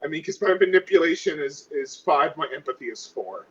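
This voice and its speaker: Muffled lo-fi male gamer, slightly high voice, chatting casually as a player. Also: animated, brisk, slightly rough. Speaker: tabletop game player. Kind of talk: casual table chat.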